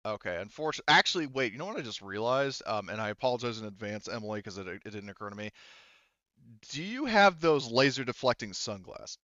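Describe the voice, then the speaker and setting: A man's voice: medium pitch, big pitch swings, fairly quick, sharp tone, dry recording, dull audio. A man acting as game master for a tabletop game, talking casually with swearing and explaining the rules.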